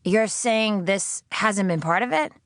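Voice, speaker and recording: A woman's voice: mid-range voice, measured pace, a bit bright, voice pushed up. Woman playing a weary teen girl captive in an audiobook, guarded, dry sarcasm, defiant, no room echo. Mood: cautious, probing. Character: defiant, weary nineteen-year-old female prisoner. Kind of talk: audiobook character acting.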